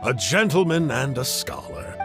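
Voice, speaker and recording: A man playing a sly, bookish scholar of a shadowy order in a video game, lecturing in a pompous, deliberate, dramatic manner. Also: mid-range voice, very animated, vocal fry. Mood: musing, intrigued. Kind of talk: theatrical video game voice acting. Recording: background music.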